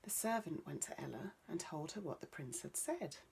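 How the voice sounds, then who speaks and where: A woman's voice: deep voice, lively intonation, normal speed, vocal fry, darkish tone, slight background noise. A woman narrating a children's bedtime story as a storyteller.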